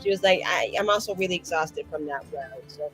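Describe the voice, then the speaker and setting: A woman's voice: deep voice, brisk, faint music behind, rough recording. A woman playing a tabletop role-playing game, rambling on at length about her character with frequent laughter. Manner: rambling, laughing, lively swings in tone. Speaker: player.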